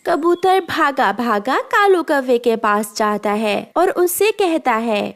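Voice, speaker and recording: High-pitched woman narrating children's stories. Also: lively intonation, slow. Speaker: storyteller narrator. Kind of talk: scripted children's story narration.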